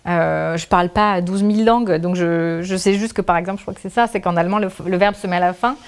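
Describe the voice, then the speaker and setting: A woman's voice: fairly low pitch, steady tone, fast talker, clean tone. A woman author answering interview questions, thinking aloud with many restarts, fillers and trailing phrases. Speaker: author, guest. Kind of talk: casual spoken interview answers.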